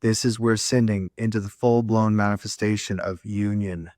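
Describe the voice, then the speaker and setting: A man's voice: lowish voice, steady tone, normal speed, a little mellow, no echo, studio quality. A man co-hosting a spiritual talk show, speaking earnestly in a preachy way. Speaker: co-host.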